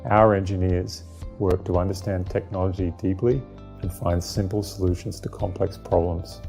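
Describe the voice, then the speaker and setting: A man reading a scripted ad voice-over in a flat, even manner. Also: low voice, normal speed. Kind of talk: scripted ad narration. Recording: voice-over with clear music behind.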